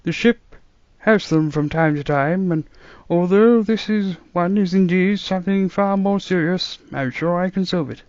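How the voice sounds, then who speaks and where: A man's voice: high voice, moderate pace, darkish tone, no echo, muffled recording, pitched above the performer's own voice, lightly acted. A man voicing a fussy, worried elderly doctor who speaks to his granddaughter.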